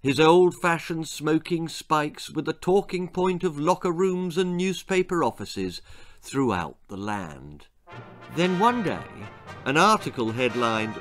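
A man's voice: fairly high pitch, fairly bright tone. Older man narrating a children's story. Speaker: author reading own story. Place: small book-lined room indoors.

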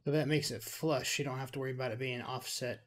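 A man's voice: medium pitch, fairly even intonation, quick pace. Casual man, noisy recording. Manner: rambling, thinking aloud, mildly grumbling. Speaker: gaming video creator. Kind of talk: casual game build commentary.

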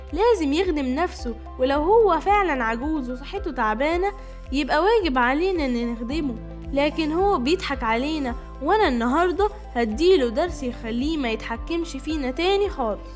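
A woman plays a small, clever girl rabbit from a storybook, high and self-assured. The accent is Egyptian, with gentle music behind. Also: animated, brisk. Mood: determined. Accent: Egyptian Arabic.

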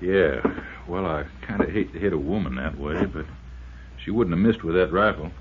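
A laconic, folksy helper, played by a male actor in a 1950s radio detective drama. The voice is low and easygoing, on a dull recording with clear noise. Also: moderate pace, lightly acted. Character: laconic, folksy resort man helping a detective.

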